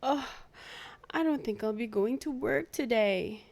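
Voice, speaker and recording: A woman voicing a kind, polite old male zookeeper in a children's storybook, gently expressive and courteous. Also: a little high, unhurried, gravelly. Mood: unwell, weary. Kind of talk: children's storybook read-aloud.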